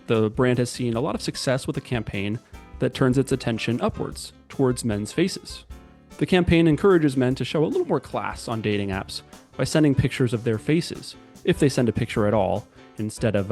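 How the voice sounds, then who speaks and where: An upbeat male podcast host with a mid-range voice reads his intro in a clear, polished way and then moves on to his questions. Faint music plays softly under his voice. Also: mid-range voice. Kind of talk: scripted intro and interview questions.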